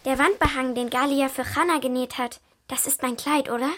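A woman's voice: high voice, fairly even intonation, a little fast, a bit bright. A female performer playing a curious, eager little granddaughter at bedtime, childlike and pleading in an audio drama.

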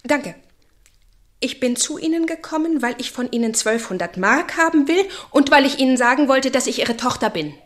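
An actress playing a proud, urgent young stenotypist in a radio play. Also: female voice, high-pitched, lively intonation, normal speed. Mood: firm, urgent. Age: young adult.

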